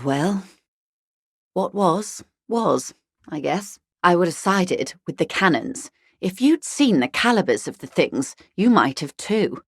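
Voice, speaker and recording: An actress playing a worldly older upper-class woman in a video game, speaking in a measured, wry, faintly condescending manner. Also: lowish voice, slightly rough, no room sound, studio quality. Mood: resigned, musing. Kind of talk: video game character dialogue.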